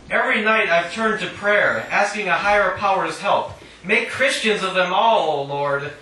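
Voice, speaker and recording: A man's voice: sits high, sharp tone. Echoey, wry man reading poetry live. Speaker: featured poet at a reading. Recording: roomy, distant mic, faint noise.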